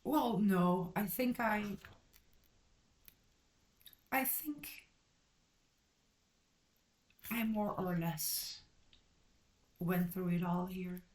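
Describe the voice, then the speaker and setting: A woman's voice: fairly low pitch, very slow, long pauses, a little mellow. Rambling, self-correcting woman from Belgium. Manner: blunt and direct. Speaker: channel host reviewing a book. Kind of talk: casual book review.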